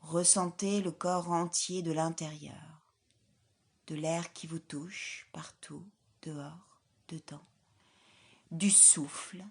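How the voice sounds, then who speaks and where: A woman leading a guided yoga nidra relaxation as an instructor, in a calm, soothing, measured way with soft, breathy phrase endings. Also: deep voice. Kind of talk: guided relaxation meditation, scripted.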